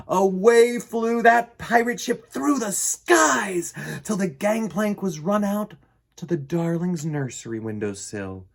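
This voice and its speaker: A man telling stories to children as a host. His fairly high voice swings widely in pitch and moves at an unhurried pace. The delivery is theatrical, full of dramatic pauses. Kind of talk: animated storybook narration for children.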